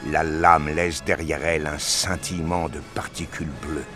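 A man's voice: very deep voice, flat delivery, normal speed, music in the background. A man reading scripted narration for a science fiction audio drama.

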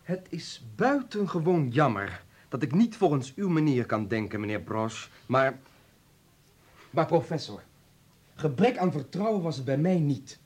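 A hurt but proud doctor in a radio drama. He is formal and curt, with a husky, expressive voice on the higher side. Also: measured pace, heavily acted. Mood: hurt, dignified. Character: wounded, proud male doctor with prison past. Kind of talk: radio play acting.

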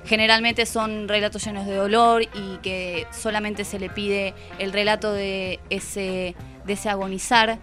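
A woman with an Argentine accent talks through a book as a radio columnist. Her voice sits at a middle pitch, and she speaks reflectively, searching for her words and rephrasing. Music plays behind her. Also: a bit bright. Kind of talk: conversational book review. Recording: music playing under the voice. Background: background music.

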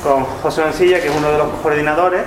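A man's voice: on the high side, fairly even intonation, fast. A man presenting a book informally to an audience, hesitant, often repeating words and adding tag questions. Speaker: book co-author presenting the event. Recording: echoey room, background noise.

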